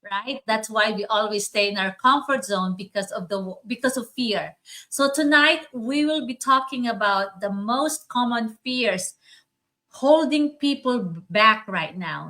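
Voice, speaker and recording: Woman, talk host, bright higher voice, conversational, repeats phrases. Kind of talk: casual online talk, introducing topic and guests.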